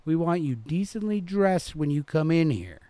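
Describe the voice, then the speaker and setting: A man's voice: fairly high pitch, very animated, moderate pace, dark tone, no echo, voice pushed up. A man voicing a stern, fussy middle-aged store manager in a scolding, emphatic manner.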